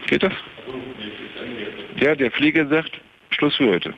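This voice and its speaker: Gravelly man on a noisy phone line. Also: on the high side, lively intonation, slow pace. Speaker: radio caller. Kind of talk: casual chat. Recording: noisy dull phone call.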